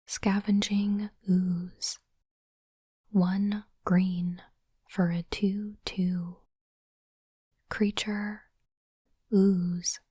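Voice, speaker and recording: A woman whispering gently and breathily in an ASMR style, in unhurried short phrases, close to the microphone. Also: medium pitch, level tone, no echo. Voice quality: soft, breathy unvoiced whisper. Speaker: ASMR host unboxing trading cards. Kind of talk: whispered ASMR, reading cards and commenting. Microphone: close microphone, dry.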